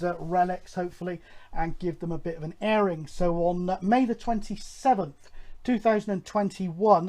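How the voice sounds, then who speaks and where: A man presenting a hobby show, talking casually and in a halting, rambling, informal way to viewers. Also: sits high, darkish tone. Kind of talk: casual update and announcements to viewers.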